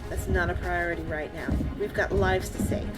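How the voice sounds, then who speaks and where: A woman playing a steady, protective military squad leader in a sci-fi radio drama, with clipped phrasing and a cool head. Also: lowish voice, moderate pace, lightly acted. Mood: steady resolve. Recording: loud music over the voice.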